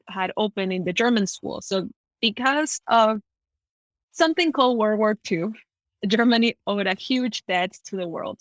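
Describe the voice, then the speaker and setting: A woman's voice: medium pitch, dry recording. A woman from Ecuador with an American accent and Spanish as her first language, telling personal stories spontaneously as an interview guest. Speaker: podcast guest. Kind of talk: conversational storytelling in an interview.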